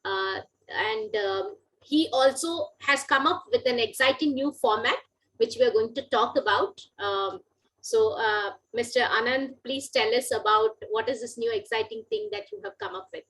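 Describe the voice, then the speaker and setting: A woman's voice: a little high, animated, moderate pace, fairly bright tone, rough recording. A woman with an Indian accent hosting an informal interview, phrasing her questions a little hesitantly. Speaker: interviewer, magazine journalist.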